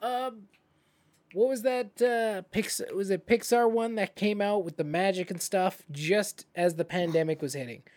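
A man with a fairly high voice, chatting casually on a film podcast, animated and full of fillers. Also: normal speed. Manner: animated, many fillers, jumps up in pitch when excited. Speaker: podcast co-host. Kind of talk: casual chat about films.

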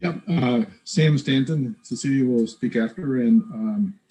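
An older man from rural Kansas, speaking as an interview guest in a reflective, halting way with many fillers. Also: mid-range voice, mellow, rough recording. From rural north central Kansas, USA. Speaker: guest, former lay missioner. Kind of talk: conversational interview.

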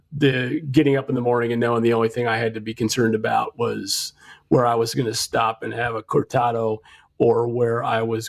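A man's voice: medium pitch, gravelly. A man co-hosting a casual podcast from home, talking in a rambling way with frequent restarts and fillers. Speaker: podcast co-host.

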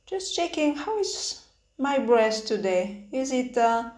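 Woman meditation guide, very unhurried pace, halting phrases, long pauses, roomy echo. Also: a little high, sing-song, a little mellow. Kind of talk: guided meditation, gentle spoken instructions. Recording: roomy, echoing sound.

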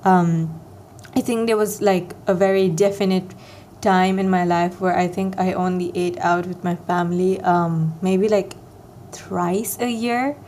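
Soft, rambling young woman podcaster. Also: on the low side, fairly even intonation, a little slow, slight background noise. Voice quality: soft, soothing voice. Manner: rambling, filler-heavy, self-deprecating. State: slightly raspier than usual, morning voice. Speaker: podcast host. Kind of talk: casual solo podcast chat.

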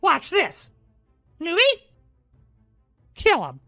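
A very high, piercing cartoon mouse villain, squeaky and giggly. He is a mean little male crime boss who mocks everyone in a bright, slow, swooping delivery. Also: wildly swooping pitch, creaky, soft background music, exaggerated voice. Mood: gloating. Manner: mocking, taunting. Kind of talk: parody radio drama acting.

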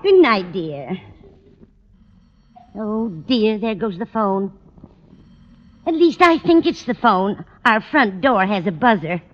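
A woman's voice: mid-range voice, animated, slow, long pauses. An American actress playing an absent-minded, fussing older landlady in a 1940s radio sitcom, with a dithering, chatty manner. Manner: dithering, scatterbrained, fussy. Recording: noisy, dull old radio recording.

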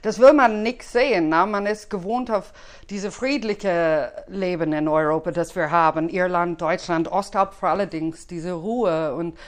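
A woman's voice: fairly low pitch, measured pace. Irish woman talking german, lots of ums, friendly and a bit unsure. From Ireland, Dublin. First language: English. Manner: hesitant, many fillers, friendly. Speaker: guest, cinema series organizer. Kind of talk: casual interview chat.

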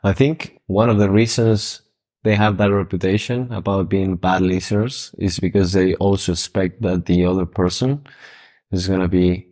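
A man from Argentina co-hosting a casual podcast, with a very deep voice. He lays out his points in a calm, measured and explanatory way. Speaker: podcast co-host.

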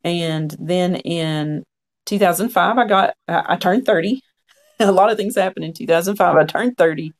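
A man's voice: sits high, moderate pace. A man in his forties, a podcast guest, telling his story in a frank, earnest conversational way. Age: 40s. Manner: frank, earnest, quotes own past thoughts.

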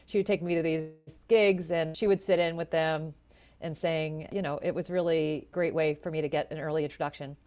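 A woman in her forties with a low voice, telling her story in a chatty, animated way as an interview guest. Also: fairly quick. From Florida, USA. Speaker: jazz vocalist and educator, guest.

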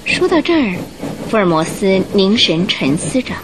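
Female radio-drama narrator, mid-pitched and very animated, measured storytelling with music underneath. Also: background noise.

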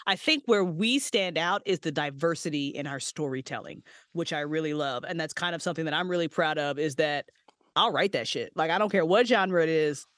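A woman's voice: deep voice, fairly quick, dry recording, studio quality. A woman guest on a podcast, talking casually and bluntly with frequent swearing. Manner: blunt, profane, animated. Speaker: podcast guest, audio drama creator. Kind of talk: casual interview chat.

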